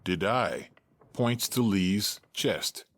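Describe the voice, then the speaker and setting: Deep, slow male narrator. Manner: detached, matter-of-fact. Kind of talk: scripted narration of stage directions.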